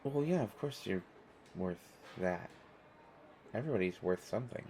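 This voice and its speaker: A man's voice: lowish voice, animated, normal speed, a little mellow, slight background noise. A man playing a stressed, self-deprecating airport stranger in a conversational audio roleplay, talking in hesitant, rambling stammers. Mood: hesitant, wry.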